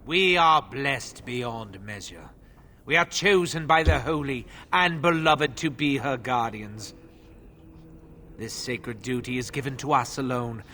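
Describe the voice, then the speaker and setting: An actor playing an older male cult priest in a fantasy game, formal and measured in his speech. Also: medium pitch, sharp tone, slight background noise. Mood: fervent, exalted. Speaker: preacher. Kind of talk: scripted fantasy game acting.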